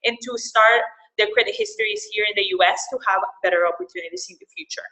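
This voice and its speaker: A woman's voice: mid-range voice, fast, sharp tone, reverberant, poor audio. A young adult woman from El Salvador who is a financial counselor, presenting a webinar in a conversational way with frequent fillers and repeated words.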